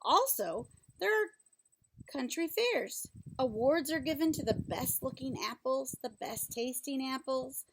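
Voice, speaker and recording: A woman reading a children's book aloud like a teacher, pausing to explain things simply and ask questions. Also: high voice, animated, slight background noise, rough recording.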